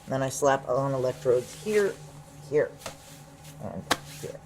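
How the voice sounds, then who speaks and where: An actress playing a gruff, weary ex-military female security chief in an audio drama, speaking in a clipped, dry, commanding way. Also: a bass voice, expressive, a little slow, background noise. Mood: matter-of-fact. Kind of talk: naturalistic audio drama acting with noir narration.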